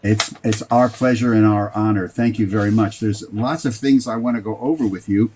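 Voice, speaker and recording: A man hosting an interview in a conversational, somewhat halting and roundabout way. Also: lowish voice, fairly even intonation, darkish tone, breathy edge. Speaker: talk show host, interviewer.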